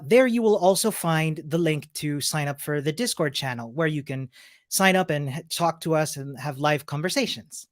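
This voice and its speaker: Upbeat woman teaching a live coding class. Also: low voice. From Chile. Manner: upbeat, chatty, greets viewers by name and place. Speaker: coding instructor. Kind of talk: live-streamed coding lesson.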